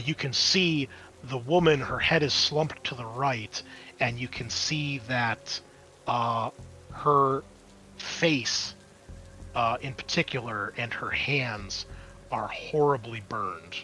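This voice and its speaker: Bright-voiced man running a horror roleplay game. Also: mid-range voice, rough recording. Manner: casual, sweary, descriptive. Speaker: game master. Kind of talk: narrating a tabletop horror game.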